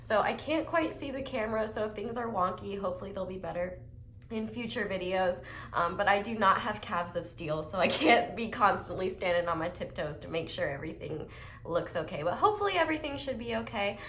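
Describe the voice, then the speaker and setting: A woman's voice: medium pitch, expressive, fairly quick, a bit bright, slight background noise. A young woman getting over a cold, giving a chatty, upbeat tarot reading with lots of fillers. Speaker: tarot reader. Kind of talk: casual pick-a-card tarot reading. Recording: echoey room with faint ambience.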